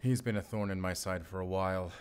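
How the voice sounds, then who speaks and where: An actor playing a composed, dryly joking German wolf hunter and spy in an audio roleplay, with a German accent and a measured, formal manner. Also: male voice, low-pitched, steady tone, smooth, lightly acted. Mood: calm, confiding. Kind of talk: cinematic audio roleplay acting, speaking to the listener.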